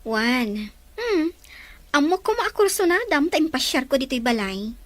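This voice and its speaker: A woman's voice: high voice, very animated, a little slow, no room sound. A woman with an Ilocano Filipino accent playing a cunning, helpful younger sister in a radio drama. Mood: conspiratorial.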